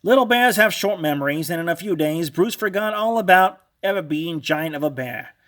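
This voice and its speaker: A man narrating a children's storybook read-along in a dramatic, expressive, animated way. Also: fairly high pitch, normal speed.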